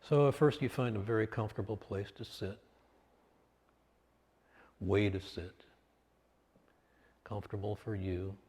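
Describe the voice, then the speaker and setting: A man with a low voice guides a meditation at a very unhurried pace. He offers instruction in short, measured phrases with space between them. The sound carries a long, hall-like reverb. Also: darkish tone.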